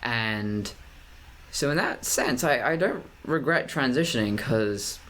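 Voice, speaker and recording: A young man with a deep, mid-pitched voice, talking to his camera in a personal vlog. He picks his words with care and paces them deliberately. He often restarts a phrase before carrying on. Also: animated, fairly bright tone. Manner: deliberate, measured pacing with frequent restarts. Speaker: vlogger.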